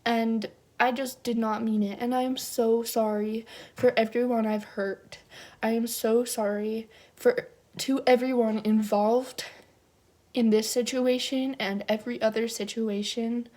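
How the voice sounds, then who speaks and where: A young woman on a fake-sincere apology, drawn out and unhurried. Her voice sits a little high and sounds overly earnest and mock-tearful. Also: level tone, a little mellow. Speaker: youtuber. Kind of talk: parody apology video.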